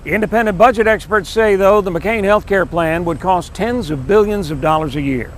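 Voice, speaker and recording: An American man works as a television reporter and reviewer, reading scripted pieces. His voice sits clearly high for a man. There is faint noise and ambience in the recording. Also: moderate pace.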